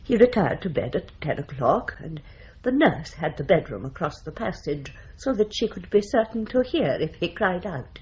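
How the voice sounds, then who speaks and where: A low, firm, proper household woman. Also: sing-song, normal speed, darkish tone, a little hiss or hum. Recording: slightly dull old radio recording.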